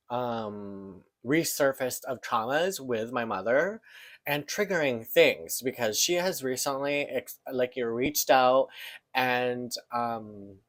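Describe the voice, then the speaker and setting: A man in his thirties, from the Philippines, speaks in a personal monologue. His voice sits at an ordinary middle pitch, and he talks in an earnest, searching, open way. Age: 30s. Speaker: podcast host.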